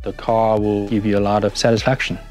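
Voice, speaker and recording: A man's voice: lowish voice, little pitch movement, brisk, a little mellow. A middle-aged man from Hong Kong with a Hong Kong accent, telling his story reflectively as a car enthusiast in a documentary interview. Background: background music.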